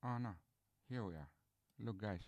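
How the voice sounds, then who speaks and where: A man's voice: fairly low pitch, moderate pace, a little mellow, no room sound. Boastful rambling man on a stream. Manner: boastful, rambling with many restarts. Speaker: streamer host. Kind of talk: casual live-stream talk.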